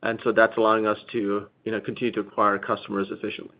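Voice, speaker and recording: Man, low monotone voice, executive remarks, earnings call, muffled audio. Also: fast talker. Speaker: company executive. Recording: dull lo-fi conference call.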